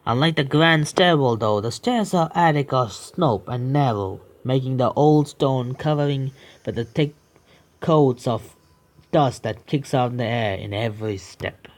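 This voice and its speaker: A man's voice: mid-range voice, a little slow. Young man reading a horror game aloud. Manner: stumbling reads, swearing and joking asides. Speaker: game streamer. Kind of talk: reading a visual novel aloud with commentary.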